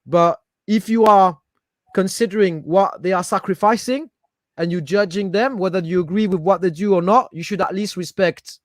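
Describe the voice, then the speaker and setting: A high-voiced man with a French accent, raised in Paris, arguing his side in a casual podcast chat. He talks with passion and stumbles over repeated words as he hunts for the right phrase. The recording is close and dry, with no room sound. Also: normal speed, dry recording. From Paris, France. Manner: passionate, repeats words while searching for them. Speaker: guest, football fan.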